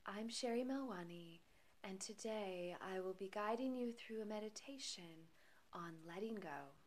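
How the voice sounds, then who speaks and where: A woman leading a guided meditation in a soft, breathy voice, calm and soothing, with long pauses between phrases. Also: mid-range voice. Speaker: meditation guide and podcast host.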